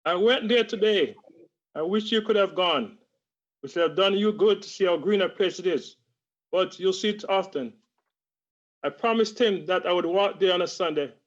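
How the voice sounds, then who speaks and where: A grieving father of a poor family speaks in halting, choked-up phrases , as a man acts him out. His voice is high for a man. It comes through dull, lo-fi video call audio. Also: natural voice.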